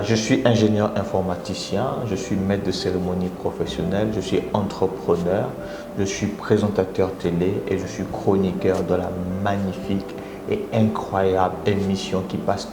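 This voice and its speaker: A Congolese man with a Congolese French accent hosting a live motivational talk, coaxing his viewers and often jumping into high exclamations. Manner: animated, coaxing audience, rising into high exclamations. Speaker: motivational speaker and live host. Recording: echoey room with audible background noise.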